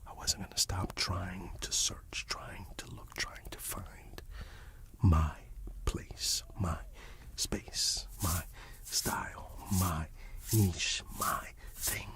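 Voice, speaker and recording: A man giving an encouraging, rambling ASMR monologue that slips between a breathy whisper and soft speech, often repeating himself. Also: low voice, steady tone, extremely slow. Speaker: ASMR channel host.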